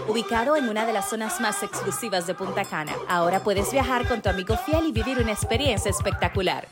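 A woman reading a polished, upbeat hotel commercial. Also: medium pitch. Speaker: ad announcer. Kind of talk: scripted commercial read.

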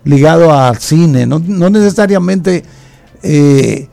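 A man's voice: on the high side, animated, slow, creaky, warm tone, faint music behind. A man co-hosting a radio talk show, joking and asking guests questions. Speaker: radio co-host.

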